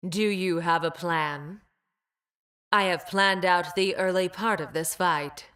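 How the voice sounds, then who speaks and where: A woman's voice: fairly low pitch, slow, a bit bright. A woman voicing a cool, calculating, condescending female scientist fighter in a video game, with a clipped, precise, clinical manner. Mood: calm, analytical. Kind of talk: game character voice lines.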